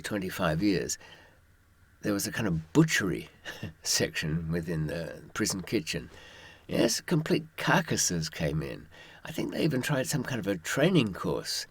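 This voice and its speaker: A man's voice: on the low side, husky, no echo. An older man, telling anecdotes and giving advice from experience in a wry, droll raconteur's manner. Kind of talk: anecdotal storytelling to listeners.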